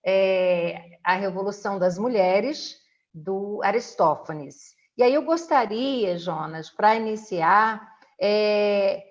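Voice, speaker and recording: A middle-aged woman from the of Rio de hosts a conversational interview from home, often halting to search for words. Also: medium pitch, muffled recording. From Brazil. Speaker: interviewer and host. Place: indoors at home.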